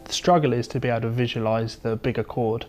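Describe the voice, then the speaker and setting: A male guitar instructor talks through a lesson in an informal, methodical way. His voice sits at an average pitch for a man. Prominent guitar playing accompanies his explanations. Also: fairly even intonation, fast talker. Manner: explains step by step, talking between demonstrations. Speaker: guitar teacher. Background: electric or acoustic guitar being played.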